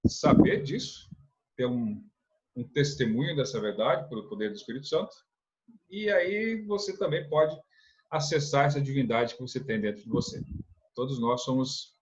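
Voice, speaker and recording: A man's voice: mid-range voice, animated, moderate pace, dull audio. A man from teaching a church lesson, earnest, asking rhetorical questions and often adding a tag question. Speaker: religious instructor.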